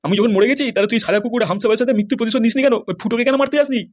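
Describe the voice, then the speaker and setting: Man voicing a rapid-fire, high-pitched furious cartoon husband. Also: faint music behind. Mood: outraged. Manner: scolding, swearing, accusatory. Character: furious, foul-mouthed male politician husband back from presumed death. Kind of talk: comedy cartoon dialogue.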